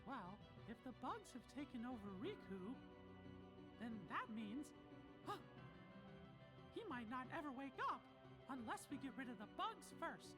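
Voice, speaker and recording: High squeaky voice, small male cartoon mouse, earnest, caring, game dialogue, loud music behind. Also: very animated, measured pace, long pauses, heavily acted. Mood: worried. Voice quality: squeaky falsetto.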